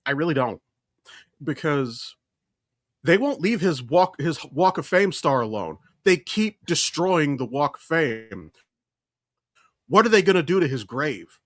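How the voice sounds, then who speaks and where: A man's voice: on the high side, sing-song, measured pace, long pauses, fairly bright tone, no echo, clean studio sound. A man co-hosting a podcast, talking casually and opinionatedly in a blunt, profane way. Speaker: podcast co-host.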